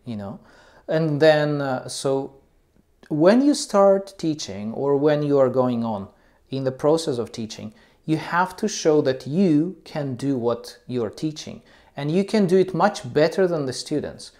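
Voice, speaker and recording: A man's voice: on the high side, lively intonation, unhurried, darkish tone. A man who teaches English, a non-native speaker, talking loosely about teaching with many ums and uhs. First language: not English. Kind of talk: casual talk to camera about teaching.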